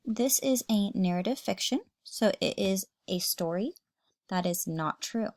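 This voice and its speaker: A woman's voice: mid-range voice, lively intonation, a little slow, a little mellow, no echo, clean studio sound. A woman teacher reading a children's story aloud expressively, addressing young listeners.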